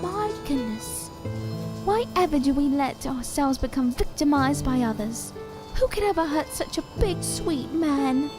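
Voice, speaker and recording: A woman's voice: high voice, expressive, unhurried, over music. An actress playing a small living doll, once a real woman, who speaks in a sweet, theatrical, old-fashioned way in an audio drama. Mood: hurt, tender. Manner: theatrical, coaxing, ornate old-fashioned phrasing.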